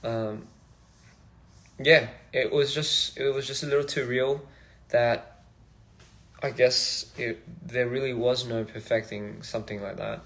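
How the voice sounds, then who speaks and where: A young man from Australia, a musician chatting casually on a phone livestream in a halting, rambling, soft-spoken way. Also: medium pitch, steady tone. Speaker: musician streaming live. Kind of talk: casual live-stream chat.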